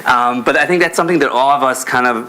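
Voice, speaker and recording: A man's voice: mid-range voice, quick pace, pushed and bright, low-quality recording. A man from Israel in his thirties moderating a panel informally, with a hesitant, joking way of introducing speakers. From Israel, born in America. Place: conference panel before an audience.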